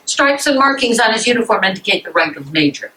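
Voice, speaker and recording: A woman's voice: mid-range voice, very animated, fast, bright, forceful tone, rough recording. A woman reading stage directions as scripted narration at a live reading in a room. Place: indoor room at a public library.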